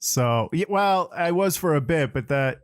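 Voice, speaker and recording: A man's voice: on the high side, lively intonation, unhurried, clean tone, dry recording, clean studio sound. A man hosting a podcast in a casual, chatty way with joking banter. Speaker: podcast host.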